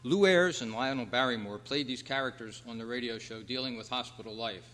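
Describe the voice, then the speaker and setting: A man hosting a trivia quiz at a club meeting, reading out questions in a measured, announcing way. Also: medium pitch, fairly bright tone. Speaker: quiz host. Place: hall at a convention club meeting. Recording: reverberant hall, clear background noise and hum.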